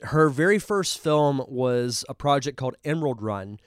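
A man's voice: mid-range voice, animated, moderate pace, clean tone, no echo, studio quality. A man with a congested voice hosting a live podcast, chatting casually and enthusiastically as he reviews a film. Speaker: podcast host.

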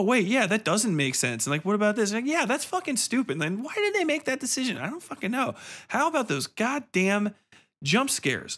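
A man's voice: high voice, fairly bright tone. A man hosting a review podcast, talking casually and off the cuff in a blunt, colloquial way with plenty of swearing.

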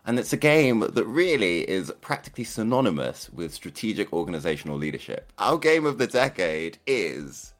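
A man co-hosts a podcast in a playful, self-mocking way. His voice is very low, but his pitch swings widely as he talks. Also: very animated, normal speed, hardly any pauses. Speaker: podcast co-host. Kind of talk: casual podcast chat.

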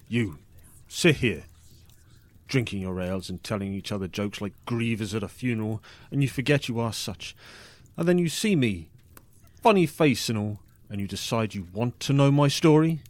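A man's voice: a little high, no echo. An actor playing a bitter, scornful drunken man telling his tragic story theatrically in a fantasy audio drama. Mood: bitter, taunting. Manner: theatrical, scornful, swinging from mocking mimicry to hushed menace.

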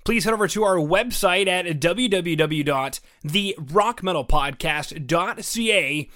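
A man's voice: high-pitched, measured pace, fairly bright tone. A man delivering a scripted podcast outro as its host, in an upbeat announcer style.

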